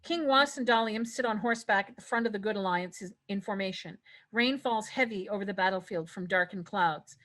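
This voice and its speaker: A woman's voice: mid-range voice, fairly quick, a bit bright. A woman reading stage directions as narrator in a scripted fantasy table read.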